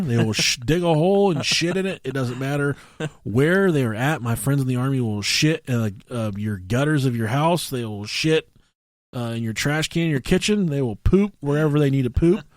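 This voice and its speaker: A man co-hosting a podcast, telling stories casually and comically in a profane, halting way. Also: mid-range voice, lively intonation, dry recording, clean studio sound. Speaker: podcast co-host.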